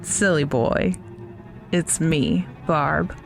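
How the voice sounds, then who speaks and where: An obsessive female stalker in a gothic audio drama. She speaks very slowly and deliberately, cooing and taunting with sweet menace over music. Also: low voice, creaky, heavily acted. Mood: gloating, teasing.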